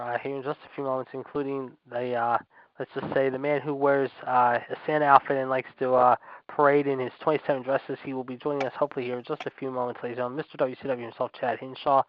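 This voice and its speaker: A man's voice: mid-range voice, little pitch movement, fast, no room sound. A man in his forties hosting a casual radio show, repeating stock phrases and addressing listeners like an emphatic announcer. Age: 40s. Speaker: host. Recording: dull lo-fi internet radio audio.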